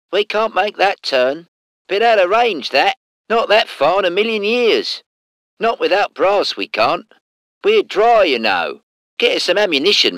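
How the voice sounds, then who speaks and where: A man's voice: high-pitched, sharp tone, dry recording, exaggerated voice. An actor with a British accent voicing a cheeky, salty tank crewman in a war game, in slangy, clipped phrases. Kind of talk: short scripted game unit responses.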